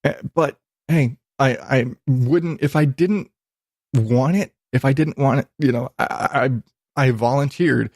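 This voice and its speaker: A man hosts a podcast alone in a very dry, close-sounding recording. He speaks at a slow, unhurried pace with an average pitch. His talk is loose and informal, rambling and self-deprecating, with some swearing. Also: a little mellow, no echo. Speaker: podcast host.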